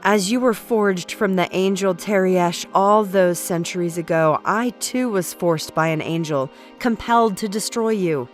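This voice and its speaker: A woman acting a calm, devoted ancient woman in an audio drama, earnest toward her king. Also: mid-range voice, measured pace, hardly any pauses, soft background music, natural voice. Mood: earnest, pleading.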